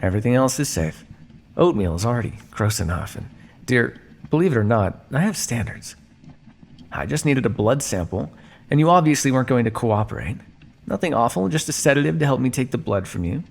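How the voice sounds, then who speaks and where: Man playing a smug, gravelly vampire captor. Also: mid-range voice, sing-song, brisk. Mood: smug, explanatory. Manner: theatrical, drawling, mock-polite, sardonic. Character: male vampire scientist captor, smug, teasing, coolly condescending. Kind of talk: ASMR roleplay monologue addressed to listener.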